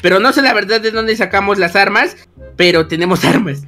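A man's voice: high-pitched, fairly quick, bright, forceful tone, faint music behind. A man playing a small valiant boy rallying his friends, earnest and theatrical, in an improvised Minecraft roleplay.

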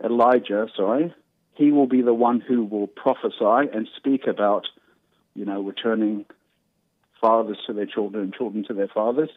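A man's voice: on the low side, steady tone, moderate pace, no echo. A man with a New Zealand accent calling in to a show, chatting earnestly in a hesitant, repetitive way. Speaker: phone-in caller. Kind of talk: casual, earnest chat. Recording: tinny, narrow phone line.

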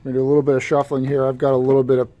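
A man's voice: mid-range voice, monotone, rapid-fire, warm tone. A man talking casually in a terse, matter-of-fact way, listing items as he shows them, recorded indoors on a phone. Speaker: podcast co-host showing collection pickups. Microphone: handheld phone camera mic. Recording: noticeable background noise, amateur phone video.

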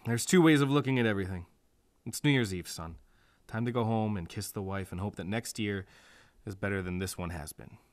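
Man playing a low-voiced weary lawman. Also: fairly quick, no echo, close to an everyday voice. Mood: wry tired. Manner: dry, world-weary. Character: weary, wry male district attorney, married. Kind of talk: radio noir acting.

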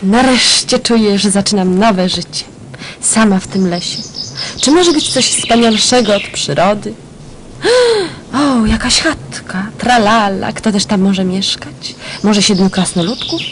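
A woman's voice: mid-range voice, animated, unhurried, fairly bright tone, a little hiss or hum. A woman playing a chatty runaway student. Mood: cheerful. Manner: exclaiming, talking to herself. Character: young female student runaway, cheeky, chatty.

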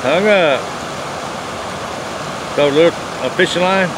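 Folksy man with outdoor noise. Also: a little high. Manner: folksy, plain-spoken. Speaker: fishing vlogger. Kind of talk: casual outdoor vlog narration and chat. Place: outdoors on a rocky riverbank. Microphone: handheld phone at arm's length. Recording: noisy outdoor phone video, rushing water. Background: rushing river water and outdoor ambience.

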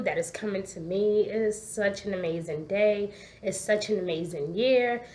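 Congested woman chatting to her webcam. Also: mid-range voice. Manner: chatty, animated, gestures a lot, asks "okay?" often. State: congested, hoarse from allergies. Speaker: vlogger. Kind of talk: casual personal storytelling to camera. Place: living room at home. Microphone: laptop webcam.

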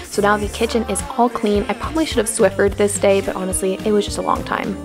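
Young woman, chatty vlog narration, mid-pitched voice, loud music underneath. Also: steady tone, fast. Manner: chatty, upbeat, asks viewers many questions. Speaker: lifestyle vlogger. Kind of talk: chatty clean-with-me voice-over. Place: home, kitchen and bathroom.

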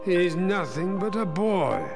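Disdainful male game villain: formal, creaky, somewhat high, clear music underneath. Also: expressive, slow, exaggerated voice. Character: haughty, skeptical male villain, formal and cutting. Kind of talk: video game cutscene acting.

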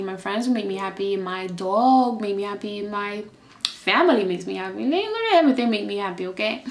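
A playful young woman chats through a casual vlog Q&A in an animated, teasing way. Her voice sits a little high. The sound is roomy, with a noticeable echo from a small room. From Haiti. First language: Haitian Creole. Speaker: vlogger. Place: small room in front of a plain backdrop. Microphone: camera at head-and-shoulders framing.

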